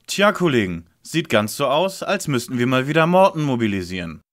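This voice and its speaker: A man playing a self-assured, scheming teenage detective leader in an audio drama parody, speaking deliberately. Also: medium pitch, sing-song, slight background noise, close to an everyday voice.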